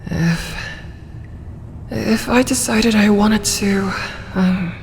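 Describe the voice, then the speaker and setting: Woman playing a slow-talking flustered dragoness in heavy reverb. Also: mid-range voice, darkish tone, slight background noise. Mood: bashful, confessional. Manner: hesitant, stammering, breathy whispers. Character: proud, flustered female dragon softening into shy affection. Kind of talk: ASMR romantic roleplay.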